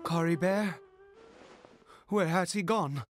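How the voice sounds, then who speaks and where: An actor playing an anguished, fanatical father in a video game, speaking in a halting, dramatic way and repeating words with frantic urgency. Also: high voice, no echo, faint music behind. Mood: worried, dismayed. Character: anguished fanatical father searching desperately for his son.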